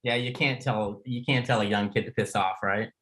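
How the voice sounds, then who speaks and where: A man's voice: lowish voice, fairly even intonation, normal speed, fairly bright tone. A middle-aged man hosting a casual conversational interview in an informal, chatty, plain-spoken way. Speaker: podcast host.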